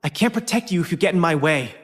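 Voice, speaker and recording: An actress playing a fiery, protective older sister, a young star student in an animated game film. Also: female voice, on the low side, fast talker, close to an everyday voice. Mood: stern, protective. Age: young adult.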